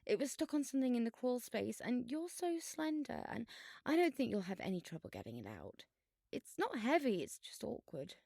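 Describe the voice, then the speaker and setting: A woman playing a bossy, sardonic, excitable schoolgirl in an audio drama, with a theatrical, sarcastic manner. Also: a little high, moderate pace, creaky, no echo, studio quality, exaggerated voice. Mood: sweetly scheming.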